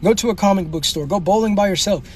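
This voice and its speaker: A man's voice: high voice, fast talker. A young man hosting a comedic livestream, trash-talking commenters in brash, slangy bursts. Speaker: livestream host.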